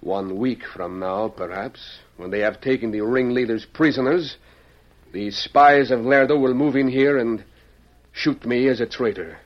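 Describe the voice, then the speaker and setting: A man's voice: medium pitch, a little slow, natural voice. A man playing a proud, courteous fugitive Mexican revolutionary with a Mexican accent, speaking earnestly and formally in an old-time radio drama. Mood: urgent, earnest. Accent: Mexican Spanish. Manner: earnest, formal, theatrical phrasing. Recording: muffled lo-fi vintage radio recording.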